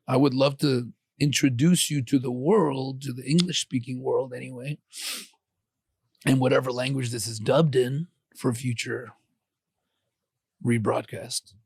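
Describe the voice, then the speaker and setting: A man's voice: mid-range voice, vocal fry, a little mellow, slightly rough, dry recording. A man hosting a podcast interview, talking in a loose, encouraging way with lots of fillers and restarts. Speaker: podcast host. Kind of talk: casual interview.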